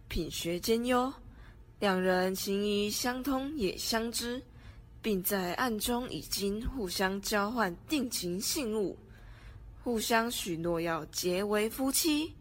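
A woman's voice: mid-range voice, slow. A teenage girl narrating a scripted story for a student radio drama. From Taiwan. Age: teens.

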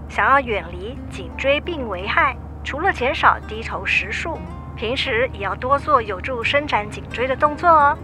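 An actress playing a composed radio host reading a scripted health tip in a measured, informative manner. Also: female voice, on the high side, close to an everyday voice.

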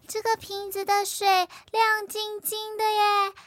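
A woman plays an eager little boy in a radio play, chattering excitedly. His voice is very high and bright, and he speaks slowly.

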